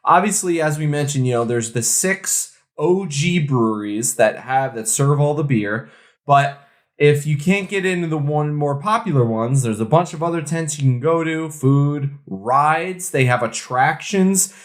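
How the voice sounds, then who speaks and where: A man's voice: a little high, animated, a little slow. Informal, jokey man co-hosting a podcast. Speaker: podcast co-host. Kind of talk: casual chat about beer.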